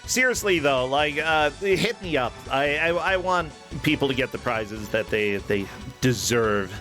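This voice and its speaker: A man's voice: mid-range voice, animated, measured pace. A man with a mid-range voice hosts a casual livestream. He talks informally and effusively to viewers and guests. Faint music plays behind him. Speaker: livestream show host. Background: background music.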